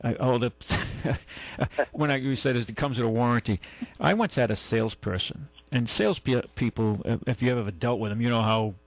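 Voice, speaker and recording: A man with a gravelly, creaky voice hosts a talk radio show. He tells long, meandering stories, stumbles over restarts and acts out other people's lines. The sound is dry and close. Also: medium pitch, moderate pace, hardly any pauses, no echo, low-quality recording. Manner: rambling anecdotes with many restarts and acted-out quotes. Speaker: radio show host and car dealer. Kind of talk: casual on-air storytelling. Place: radio studio.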